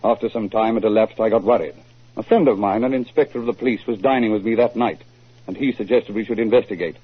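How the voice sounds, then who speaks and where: An actor playing an earnest, crafty mystery writer with a formal, measured way of telling things, in 1940s radio drama style. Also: male voice, on the low side, breathy edge. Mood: earnest, grave. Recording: muffled tinny 1940s radio recording.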